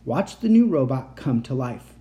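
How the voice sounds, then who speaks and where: A man's voice: mid-range voice, very animated, normal speed, dark tone, lightly acted. A man voicing an obsessed, commanding mad-scientist uncle, urgent and grandiose, in a horror storybook reading. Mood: intent, dismissive.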